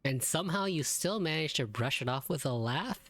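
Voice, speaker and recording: A woman's voice: low-pitched, animated, moderate pace, fairly bright tone, no echo. A woman streamer giving casual live reaction commentary on a video game.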